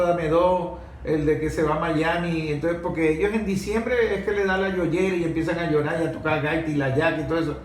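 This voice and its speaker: Venezuelan guy doing political talk, emphatic n slangy, asks rhetorical questions, echoey room w a bit of noise. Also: high-pitched. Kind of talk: unscripted political commentary.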